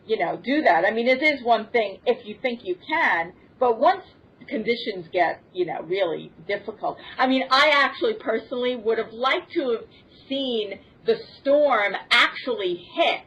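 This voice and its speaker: A woman's voice: medium pitch, expressive, measured pace. A woman in a casual panel chat, reasoning aloud and circling back over her phrases. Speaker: podcast guest. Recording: dull, band-limited lo-fi audio.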